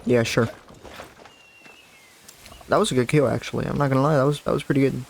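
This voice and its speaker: A man's voice: medium pitch, steady tone, a little fast, creaky, slight background noise. Chatty man in a just-woke-up voice. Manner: chatty, rambling, self-correcting. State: deeper morning voice, just woke up. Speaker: gaming YouTuber. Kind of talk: casual let's-play commentary. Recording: voice over loud game audio.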